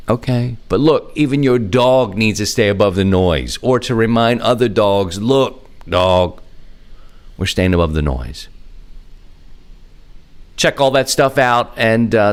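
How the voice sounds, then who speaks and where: A man with a fairly low voice hosts a hobby podcast. He talks casually and informally, with folksy slang, as he runs through announcements. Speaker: podcast host.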